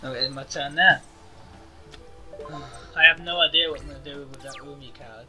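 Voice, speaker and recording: Man, gaming let's-play host, high-ish voice, very bright and sharp, faint game music behind. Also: fairly even intonation, normal speed. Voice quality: self-described high-pitched voice. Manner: chatty, thinking aloud to viewers. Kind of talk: casual let's-play commentary.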